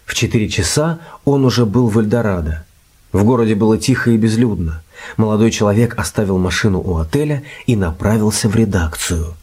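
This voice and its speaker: A man narrating a scripted audiobook in a measured storytelling manner. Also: on the low side, lively intonation, husky. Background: loud music.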